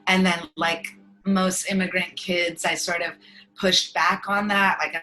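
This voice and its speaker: A woman's voice: lowish voice, flat delivery, unhurried, bright, forceful tone, poor audio. A woman whose first language is Farsi, chatting in a casual interview as a guest actor, rambling with many fillers and easy laughter. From United States.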